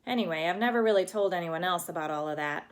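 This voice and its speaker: A woman's voice: lowish voice, fast talker, lightly acted. A woman voicing a thoughtful young girl from a storybook who speaks in an earnest, matter-of-fact way.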